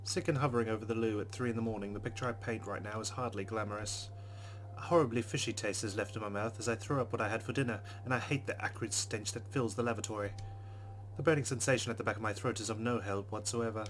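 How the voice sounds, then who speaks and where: Man, low pitch, let's play narration, casual commentary, faint background music. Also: steady tone, fast talker, poor audio. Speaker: let's player reading a visual novel aloud.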